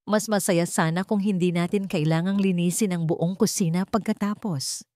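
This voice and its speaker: A woman with a Filipino accent voicing a gentle, cheerful grandmother in a storybook drama, tender and reassuring. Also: fairly low pitch, fairly even intonation, normal speed, no echo, close to an everyday voice. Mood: amused, cheerful. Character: gentle, cheerful grandmother, a loving older mother.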